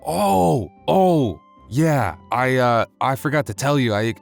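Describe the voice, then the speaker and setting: A man's voice: lowish voice, voice pushed up. A man playing a sleepy alien computer who talks casually and apologetically to listeners, with hesitant delivery. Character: sleepy, sheepish alien computer persona of the channel. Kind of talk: casual in-character address to listeners.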